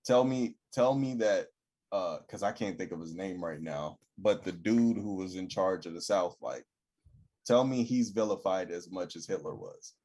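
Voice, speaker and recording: A young man talks loosely on a podcast. His voice sits low with a husky edge, and he tells stories by acting out what everyone said. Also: normal speed. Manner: animated storyteller, acts out quoted people. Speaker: podcast co-host. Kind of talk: casual chat.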